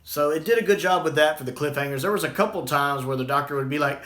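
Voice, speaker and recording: A man's voice: on the high side, quick pace, a bit bright. Man reviewing casually in an echoey room. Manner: rambling, conversational.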